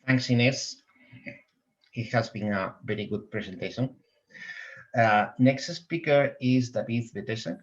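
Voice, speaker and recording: A man's voice: medium pitch, a little slow, long pauses. A man moderating an online conference session, briefly and courteously introducing the next speaker. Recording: dull lo-fi audio.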